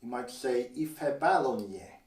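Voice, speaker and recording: A man's voice: mid-range voice, lively intonation, darkish tone. Older Guernsey man teaching, roomy sound. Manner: measured, careful, slows down to model phrases. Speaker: language teacher. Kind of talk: instructional explanation. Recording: roomy echo, a little distant from the mic.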